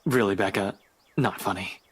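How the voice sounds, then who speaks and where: A woman puts on a young male investigator in a visual novel game, husky and low for her. He is curt and dismissive. He sounds worn thin with exasperation. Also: normal speed, exaggerated voice. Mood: disbelieving, curt. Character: irritated, dismissive young man, a police investigator. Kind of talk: visual novel character reading.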